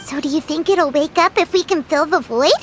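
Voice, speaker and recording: A woman voicing a tiny floating female companion who is excitable, chatty and quick to take offense. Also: slight background noise, natural voice. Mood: curious. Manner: bouncy, indignant, very high squeaky delivery. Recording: game audio over loud music.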